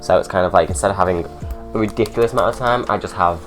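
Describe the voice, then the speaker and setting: Young man, low voice, blocked-up cold, sarcastic chatty vlogger; light music bed. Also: very fast. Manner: chatty, sarcastic, ranting asides. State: stuffy head cold. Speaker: drag makeup vlogger. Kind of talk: casual chatty makeup tutorial.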